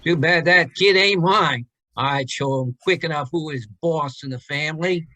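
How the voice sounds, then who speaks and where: An actor playing a gruff, jokey married workman with an American accent and folksy slang, in a radio drama. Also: a little high, normal speed, sharp tone, no room sound. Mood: gruff, joking. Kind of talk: old-time radio drama acting.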